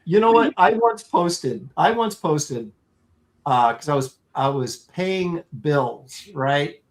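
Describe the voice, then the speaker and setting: A man co-hosting a casual live-stream chat, telling stories in an animated, wry way. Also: fairly high pitch, takes it slowly, poor audio. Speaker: podcast co-host.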